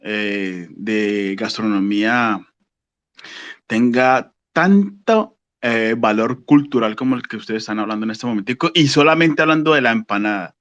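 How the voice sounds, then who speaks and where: A man in his forties from Colombia, a podcast guest who speaks slowly and thoughtfully. He pauses often and fills the gaps with fillers. The recording is dry and dull, with a lo-fi edge. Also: on the low side, fairly bright tone, dry recording. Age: 40s. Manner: slow, thoughtful, pausing with fillers. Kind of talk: casual podcast chat.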